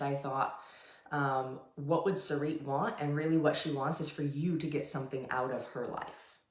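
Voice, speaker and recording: A woman with a notably low voice hosts a casual talk show, pausing often with "um" as she gathers her thoughts. The sound is roomy and echoey. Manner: warm, hesitant, many "um"s. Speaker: podcast co-host, interviewer. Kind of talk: casual interview.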